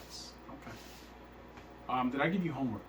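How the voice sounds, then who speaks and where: A man's voice: mid-range voice, expressive, fairly quick, echoey room. A man teaching a religious class, emphatic and repeatedly checking that his listeners understand. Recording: faint hiss, speaker far from mic.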